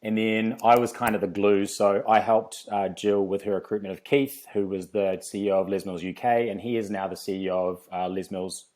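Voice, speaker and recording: A man's voice: lowish voice, little pitch movement, brisk, few pauses, darkish tone. A man from New Zealand with a New Zealand accent, a guest on a podcast, telling his career story in a chatty way, with frequent ums and easy laughs. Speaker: podcast guest, fitness company founder. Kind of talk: relaxed podcast interview.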